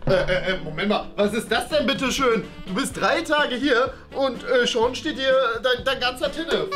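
A man's voice: extremely high pitch, normal speed, over music. A young man playing a strict, exasperated father who lectures in a flustered, hesitant way in a family sketch. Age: young adult. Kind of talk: improvised family comedy sketch acting. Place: small indoor room.

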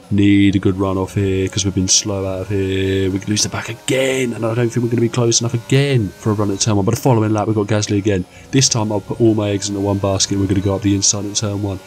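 Croaky lad calling a racing game. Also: male voice, fairly low pitch, a little hiss or hum. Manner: excitable, jokey play-by-play. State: croaky from a cold. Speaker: gaming channel host. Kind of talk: casual gameplay commentary.

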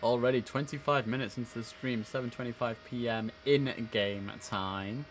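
A man's voice: medium pitch, steady tone, normal speed, a little hiss or hum. A man in his thirties giving casual live game commentary, chatty, switching between asides and formal controller calls. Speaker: streamer playing an air traffic controller. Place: indoor room, live stream.

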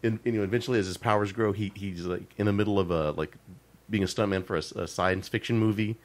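A man's voice: fairly low pitch, steady tone, quick pace. A man co-hosting a podcast in casual chat, talking at length with many restarts. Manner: chatty, lots of fillers and restarts, laughing. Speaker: podcast co-host.

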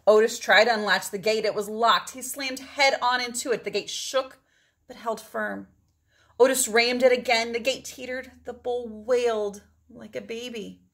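A middle-aged woman reads a children's storybook aloud, with dramatic phrasing and drawn-out words for emphasis. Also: on the high side, fairly even intonation, a bit bright. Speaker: storybook reader. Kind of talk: expressive read-aloud of a children's picture book.

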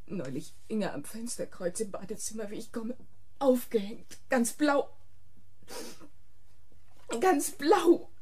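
An actress playing a distraught, panicked wife in a radio thriller, halting and repeating herself. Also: female voice, fairly high pitch, very animated, mellow, breathy edge, full character voice. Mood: hysterical, weeping. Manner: halting, repetitive, agitated. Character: distraught, panicked wife who killed her tormenting husband. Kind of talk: German radio thriller acting.

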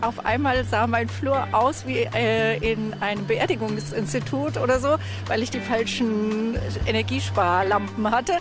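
A woman's voice: a little high, unhurried. A woman giving a spontaneous interview answer as a member of the public. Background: background music.

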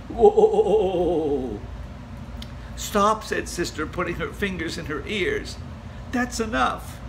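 Older man reading a kids book at home, gentle expressive voice, hissy camera audio. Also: mid-range voice. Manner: gentle, expressive storytelling with hushed spooky passages. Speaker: storybook reader. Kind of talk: children's picture book read-aloud. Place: home room, indoors. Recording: home recording with steady hiss.

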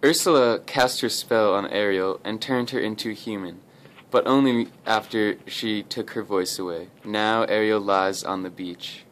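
A man's voice: a little slow, fairly bright tone. A man narrating an amateur puppet show in a casual, slangy storytelling style. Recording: faint noise and rumble, amateur recording.

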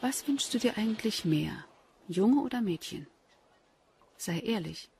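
A woman's voice: mid-range voice, animated, unhurried, gravelly, a little mellow, a little hiss or hum. An actress playing a playful, eager expectant mother in natural radio drama dialogue. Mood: teasing. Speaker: actress in a crime radio play.

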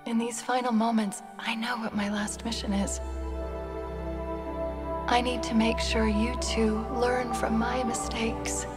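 A woman playing a quick-witted, emotional female AI companion in a video game, expressive and conversational. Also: a little high, big-room sound, close to an everyday voice. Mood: tender, earnest. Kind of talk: video game cutscene acting. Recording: music behind the voice.

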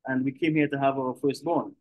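A middle-aged man from Tobago with a Trinidad and Tobago accent, a restaurant co-founder speaking in a relaxed way in a video call interview. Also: medium pitch, brisk, dry recording, poor audio.

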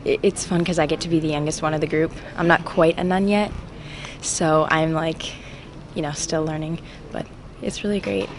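A young adult woman, a stage actress, speaking in a bubbly, rushed way in an interview. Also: sits low, steady tone. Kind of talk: casual interview. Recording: noisy backstage ambience.

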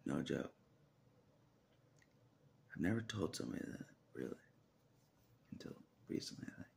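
Very deep gravelly man, half-whispering. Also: animated, normal speed, darkish tone, slight background noise. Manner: repeats phrases, hesitant restarts, half-whispered asides. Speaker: religious vlogger. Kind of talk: rambling personal vlog. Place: small indoor room. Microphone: phone at arm's length.

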